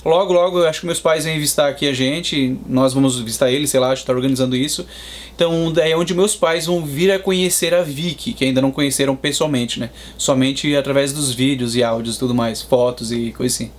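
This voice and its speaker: A Brazilian man in his thirties, a father, answering interview questions in a measured, explanatory way. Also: mid-range voice. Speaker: guest, Brazilian father in Boston.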